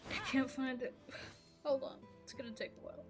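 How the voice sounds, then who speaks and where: A fairly high-voiced woman whose first language is Arabic rants casually to her viewers. She rambles and sounds exasperated, cutting herself off and restarting her sentences. Soft music plays quietly underneath. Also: expressive, a little fast. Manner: rambling, exasperated, keeps breaking off mid-sentence. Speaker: gaming vlogger. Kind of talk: casual rant to camera.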